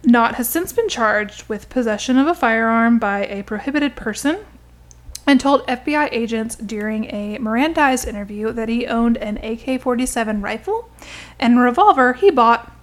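A woman co-hosting a podcast in casual comedic chat, giggly with deadpan jokes, sometimes reading out news. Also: a little high, normal speed. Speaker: podcast co-host.

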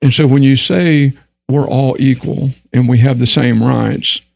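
A man's voice: mid-range voice, fairly even intonation, unhurried, gravelly, darkish tone, dry recording. A man from Texas with a Texan accent, speaking as a guest on a discussion panel in an earnest, emphatic way, sometimes acting out the voices he quotes. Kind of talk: candid discussion panel talk.

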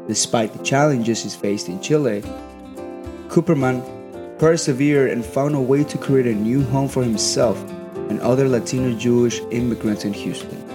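A young man, a student, reading a scripted documentary narration in steady, measured phrases. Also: medium pitch. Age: young adult.

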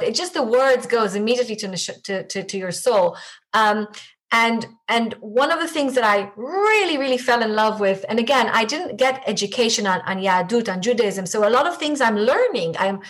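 A woman's voice: medium pitch, fairly bright tone. A woman from Israel with an Israeli accent, Hebrew her first language, speaking as a podcast guest and coach in a reflective way with frequent restarts. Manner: reflective, halting with many restarts. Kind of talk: podcast interview conversation.

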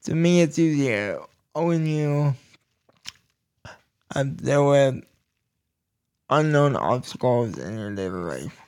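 A man hosting a podcast, giving a personal talk to listeners in halting, deliberate phrases that come in short bursts. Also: mid-range voice, animated, a little mellow, smooth. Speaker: podcast host.

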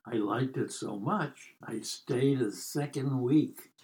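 Old american guy in his 90s spinning stories, real slow, wry and rambly. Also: mid-range voice, a little mellow, rough recording. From United States. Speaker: podcast guest telling life stories. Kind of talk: casual storytelling.

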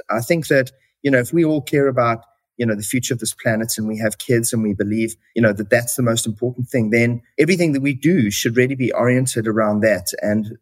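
A man's voice: mid-range voice, no room sound. A middle-aged man with a South African accent, speaking thoughtfully as an interview guest, with plenty of fillers. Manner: thoughtful, uses many fillers. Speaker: company CEO guest. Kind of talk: podcast interview.

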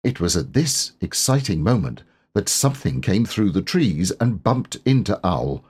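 A man reading a children's story aloud as narrator, in a measured, careful and expressive way. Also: low voice, husky. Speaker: audiobook narrator, voice actor.

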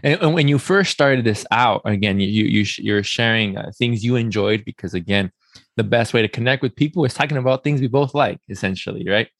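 A man's voice: on the low side, fairly quick, a bit bright, dry recording, clean studio sound. A man hosting a podcast in a casual, friendly, welcoming way, often repeating words and restarting as he goes. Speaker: podcast host. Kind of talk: casual conversational interview.

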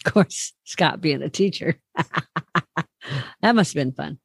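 A woman's voice: deep voice, expressive, measured pace, vocal fry, slightly rough, no echo. Chatty middle-aged woman, dry sound. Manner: chatty, rambling storyteller, teasing. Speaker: podcast co-host. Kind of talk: casual chat.

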